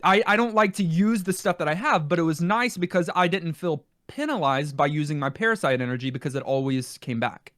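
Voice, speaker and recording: A man with a fairly high voice chats casually as co-host of a game review podcast. He is recorded very dry, with no room sound around him. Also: animated, a little fast, few pauses, fairly bright tone, no echo.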